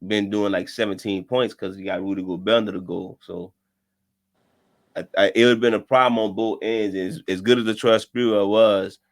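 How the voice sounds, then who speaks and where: A low-voiced man co-hosting a casual basketball podcast. He talks in a relaxed way, repeats himself as he thinks, and moves the chat along to the next topic. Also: moderate pace. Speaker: podcast co-host. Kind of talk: casual sports debate chat.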